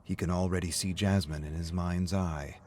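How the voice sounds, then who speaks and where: A man reads a dramatic story as its narrator. His voice is on the low side, delivering scripted prose in the present tense, and a faint noise sits behind it. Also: fairly even intonation, moderate pace, mellow.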